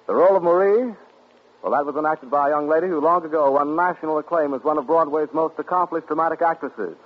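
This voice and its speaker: A man's voice: a little high, breathy edge. A man announcing in a polished, ceremonious 1940s broadcast style. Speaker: radio announcer. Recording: tinny 1940s radio broadcast.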